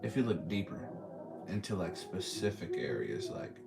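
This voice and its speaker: Laid-back young Texan man, low voice. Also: wildly swooping pitch, moderate pace. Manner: laid-back, slangy, reading and answering chat. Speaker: streamer host. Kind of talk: casual live chat with callers. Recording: loud music playing behind.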